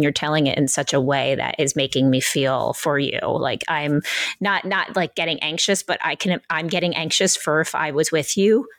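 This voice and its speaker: A woman co-hosting a podcast in a casual, conversational style, with halting, rambling phrasing. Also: sits low, dry recording. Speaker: podcast co-host interviewer. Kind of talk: casual interview chat.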